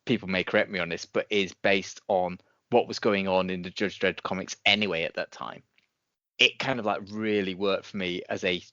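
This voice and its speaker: A man's voice: deep voice, fairly even intonation, moderate pace, bright, forceful tone, no echo, highs cut off. A man co-hosting a podcast in a casual, conversational way, circling and rephrasing his points. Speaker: podcast co-host. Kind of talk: casual review chat.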